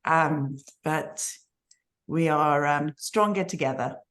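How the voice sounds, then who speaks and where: A woman from England joining a video call, speaking warmly and earnestly. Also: low-pitched, takes it slowly. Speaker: caller joining a cook-along. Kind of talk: casual video-call chat.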